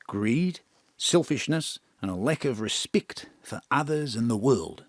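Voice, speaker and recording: An actor playing a gloomy, wry old mentor in an audio drama, resigned and deadpan. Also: male voice, medium pitch, unhurried, no echo.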